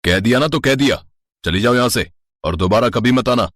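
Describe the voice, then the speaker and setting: A man's voice: mid-range voice, moderate pace, fairly bright tone, dry recording, studio quality, natural voice. A man playing a stern, controlling father in dramatic story dialogue. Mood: harsh, dismissive. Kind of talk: animated Hindi story dialogue.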